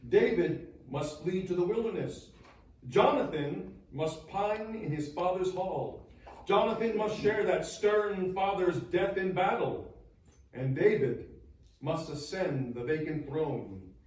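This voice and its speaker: Slow, high-pitched grieving churchman, echoing. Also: expressive, darkish tone. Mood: impassioned grief. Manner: solemn, declamatory, lingering on phrases. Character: grieving churchman bidding a dear lost friend farewell. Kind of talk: dramatic stage recitation of verse and sermon. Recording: distant, very echoey live hall sound.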